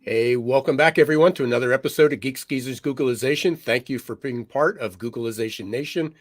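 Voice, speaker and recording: A man co-hosting a podcast, a little raspy today, talking loosely with many fillers and restarts. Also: medium pitch, a little fast, no echo, studio quality. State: slightly raspy from smoky air. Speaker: podcast co-host. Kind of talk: conversational podcast monologue.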